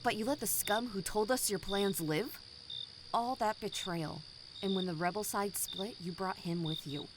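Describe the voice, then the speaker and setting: A woman playing a bitter, vengeful rebel commander in an audio drama, speaking with formal, deliberate menace. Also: mid-range voice, noisy background, close to an everyday voice. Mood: angry, accusing.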